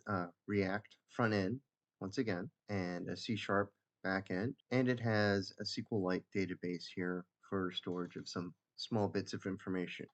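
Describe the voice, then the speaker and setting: Low-voiced man, dull lo-fi recording. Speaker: software developer presenting own app. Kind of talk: casual screen-share demo narration. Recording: dull lo-fi mic.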